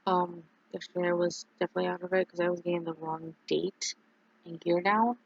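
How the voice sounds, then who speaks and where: A streamer chatting casually on a livestream, rambling and halting with many fillers and restarts. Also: medium pitch, flat delivery, vocal fry, dry recording, highs cut off.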